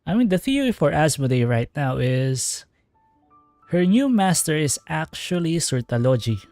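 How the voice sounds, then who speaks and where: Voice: medium pitch, big pitch swings, normal speed, a little mellow, no echo. Chatty streamer musing over a game. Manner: chatty, rambling fan theorizing. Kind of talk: casual gameplay commentary. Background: game music.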